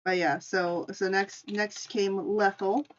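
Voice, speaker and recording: A woman's voice: mid-range voice, steady tone, slow pace, lo-fi sound. A woman streamer casually telling an improvised story and chatting with her live audience in an informal, chatty way. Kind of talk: casual livestream storytelling and chat.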